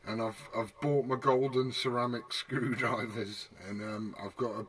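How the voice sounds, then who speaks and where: A man's voice: mid-range voice, fairly even intonation, unhurried, a bit bright, husky. A man in casual radio hobbyist chat, a little hesitant and repeating phrases for emphasis. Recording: distant from mic, faint noise.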